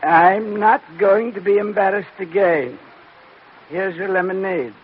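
An actor playing a prim, deferential butler in a formal 1940s radio manner. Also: male voice, high-pitched, measured pace. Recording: dull, noisy old radio recording.

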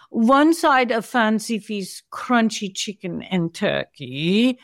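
A woman playing a disdainful, fussy female cat in an audio play, haughty and precise. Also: mid-range voice.

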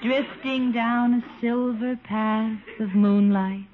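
A woman's voice: a little high, fairly even intonation, takes it slowly. An actress playing a gracious, dreamy, genteel lady friend in a 1950s radio comedy. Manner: leisurely, genteel, wistful. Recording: noisy muffled old radio, audience behind.

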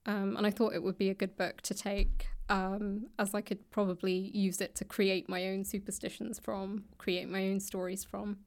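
A woman's voice: medium pitch, level tone, normal speed, hardly any pauses, darkish tone. A British woman talking casually as an interview guest. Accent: British English. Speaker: guest, author and actor. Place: indoors in a public library room.

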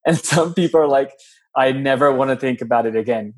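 A man from Pakistan, a podcast guest, talking about his life in a friendly, reflective way over a video call. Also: mid-range voice. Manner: friendly, reflective, drawn-out phrasing. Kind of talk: casual podcast interview.

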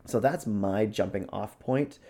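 A man's voice: on the low side, a little slow, warm tone. A man co-hosting a podcast in a casual, conversational discussion style. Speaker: podcast co-host.

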